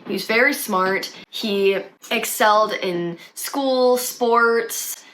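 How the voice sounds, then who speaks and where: A woman's voice: on the high side, takes it slowly, a bit bright, poor audio. A young woman with a slight cold, chatting casually as she tells a true crime story for her channel. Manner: chatty, conversational with fillers. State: has a cold, sniffly.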